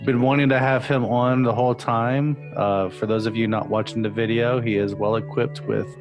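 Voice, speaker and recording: A man's voice: medium pitch, fairly even intonation, normal speed. A man hosting a podcast, opening the show with an easygoing, joking introduction. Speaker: podcast host. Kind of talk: casual chat. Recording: music playing clearly behind.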